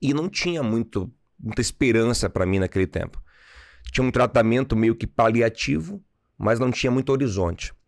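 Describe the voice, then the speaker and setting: A man from Salvador, Brazil, a pastor and podcast guest, telling his testimony calmly and earnestly in a Bahian accent. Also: mid-range voice, brisk, creaky, no room sound, clean studio sound. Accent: Bahian Brazilian Portuguese. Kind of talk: personal testimony in conversation.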